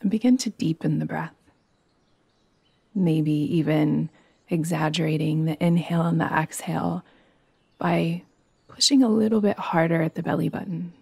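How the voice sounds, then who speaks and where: A woman teaching yoga nidra, guiding a meditation in a soothing, gentle way with long, unhurried pauses. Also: sits low, creaky, husky, dry recording. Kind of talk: guided meditation.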